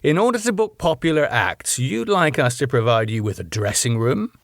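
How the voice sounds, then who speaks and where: A man's voice: medium pitch, dry recording, clean studio sound, pitched above the performer's own voice, lightly acted. A man voicing a sarcastic, stingy male club manager who talks in a mock-polite way.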